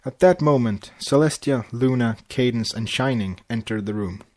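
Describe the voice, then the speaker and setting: A man's voice: medium pitch, moderate pace, darkish tone. A man narrating a story aloud as an amateur podcast host, tripping over words and correcting himself. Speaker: podcast host reading fan fiction aloud.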